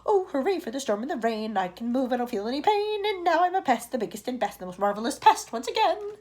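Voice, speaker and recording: A woman's voice: mid-range voice, lively intonation, normal speed, darkish tone, breathy edge. A woman voicing a giddy, boastful male centipede in a storybook reading, with a squealing sing-song delivery.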